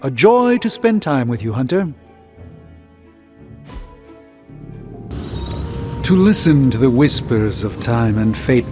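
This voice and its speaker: Man playing proud male sorcerer, formal, measured, wistful, theatrical game dialogue, muffled audio, music behind. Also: mid-range voice, lightly acted. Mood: intrigued, confiding. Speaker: video game voice actor. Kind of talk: dramatic video game dialogue.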